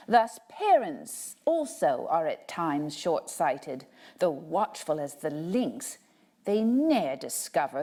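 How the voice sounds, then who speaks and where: A woman reading poetry aloud as a dramatic recital, with measured, expressive and deliberate phrasing. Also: on the low side.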